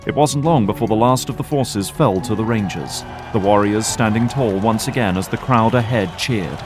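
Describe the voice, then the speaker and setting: A man's voice: on the low side, moderate pace, music in the background. A man narrating a scripted audio drama.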